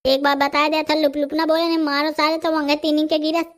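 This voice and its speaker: A man voicing an excitable, gossipy male villager in a rustic comedy cartoon, with a Bundelkhandi accent and artificially raised pitch. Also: quick pace. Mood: agitated.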